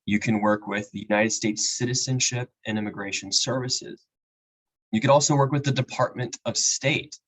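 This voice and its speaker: A man, an immigration attorney, giving a measured explanatory talk at a webinar with frequent fillers. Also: mid-range voice, fairly bright tone, poor audio. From Peru. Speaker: immigration attorney, guest speaker. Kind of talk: webinar presentation. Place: home or office with a map on the wall.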